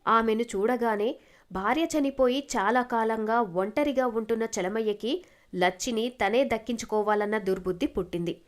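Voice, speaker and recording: A woman's voice: on the high side, moderate pace. A woman narrator reading a scripted moral story.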